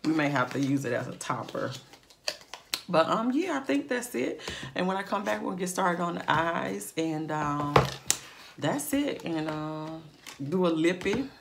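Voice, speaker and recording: A woman with a slightly raspy morning voice, chatting casually through a makeup tutorial with plenty of fillers and asides to her viewers. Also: on the low side, lively intonation, measured pace, background noise. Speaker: beauty vlogger.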